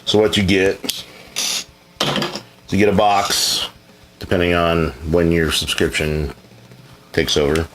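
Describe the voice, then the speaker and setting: A man's voice: low voice, slow pace, pauses often, background noise. A man answering viewer questions in a casual, rambling chat, laid-back and frequently hedging, now and then blunt and profane.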